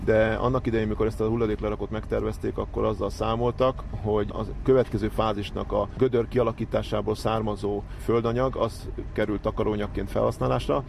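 Gravelly, low-voiced man explaining technical matters. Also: flat delivery, few pauses, darkish tone, slight background noise. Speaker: landfill operations manager being interviewed. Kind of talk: explanatory interview answers.

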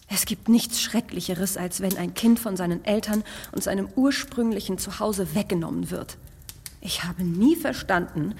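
An actress playing a gentle, sympathetic young mother who speaks softly and earnestly. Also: medium pitch, gravelly, in a large hall, slight background noise, close to an everyday voice. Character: gentle, tender young mother, easily moved. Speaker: actress in a radio play.

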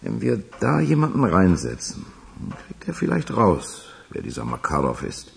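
Gravelly, low, sceptical crooked policeman. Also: huge pitch swings, moderate pace, a little hiss or hum, full character voice. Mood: sceptical, soothing. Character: gruff, sceptical police official serving a crime boss. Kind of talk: German radio crime drama acting.

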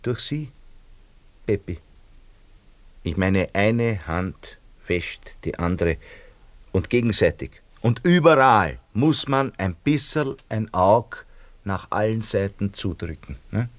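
Low-voiced, scheming Austrian father. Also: lively intonation, slow pace, no echo. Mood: insistent, wheedling. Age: middle-aged. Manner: rambling, ingratiating, theatrical. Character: scheming, self-pitying, fawning middle-aged Austrian shopkeeper and father. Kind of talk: radio drama acting.

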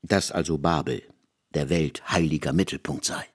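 A man reading literary prose as a narrator in a radio production, in a measured, formal storytelling manner. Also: a bass voice, fairly even intonation, breathy edge.

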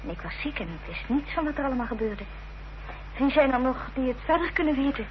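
Worried gentlewoman, urgent radio play delivery, muffled humming old recording. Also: high voice, lively intonation, a little fast, slight background noise. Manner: urgent, concerned questioning. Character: anxious, protective, intelligent Victorian gentlewoman. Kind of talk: period radio drama acting.

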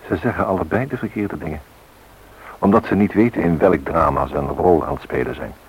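A man's voice: low-pitched, a little fast, breathy edge, exaggerated voice, poor audio. A man acting as a reflective, brooding narrator in a scripted radio drama, on a hissy old recording. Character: brooding, reflective male narrator reciting history and myth.

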